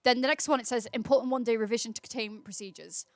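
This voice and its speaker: Higher-pitched woman reading aloud on stream. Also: fairly even intonation, very fast, no echo. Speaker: livestream host. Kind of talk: casual livestream read-aloud with chat replies.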